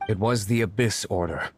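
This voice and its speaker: A man playing a brooding, aloof young nobleman and secret vigilante in a video game, speaking in a formal, curt deadpan. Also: fairly low pitch, background noise.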